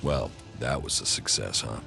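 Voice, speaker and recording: Super deep gravelly game commander, cold n curt, dry humor, music behind him. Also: male voice, normal speed, bright, forceful tone, heavily acted. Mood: dry, sardonic. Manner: terse, dry, sardonic. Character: gruff, cold male alien-faction commander, curt and weary. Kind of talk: video game acting.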